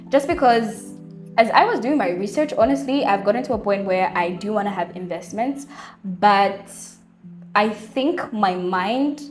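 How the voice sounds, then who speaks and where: A young woman with a Zambian accent chats to camera, answering viewer questions in a lively, friendly way. Her voice sits at a middle pitch, and she often breaks into laughter. Faint music plays underneath. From Zambia, Copper Belt. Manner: chatty, animated, laughs often. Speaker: vlogger. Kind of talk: casual Q&A to camera. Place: home room lit by daylight.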